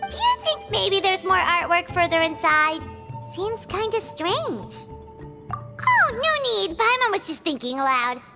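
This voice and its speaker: A woman's voice: very high voice, very animated, a little slow, exaggerated voice. A woman voicing a tiny, eager, childlike fairy companion in a video game, with an exclamatory manner. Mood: chirpy, pondering. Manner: refers to self in third person, exclamatory. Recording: music behind the voice.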